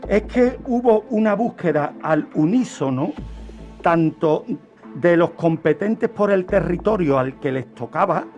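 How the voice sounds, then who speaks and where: Spanish guy with a high voice explaining true crime on radio, rhetorical questions, music under him. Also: expressive, a little slow, darkish tone, highs cut off. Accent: Spain. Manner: didactic, punctuated with rhetorical questions.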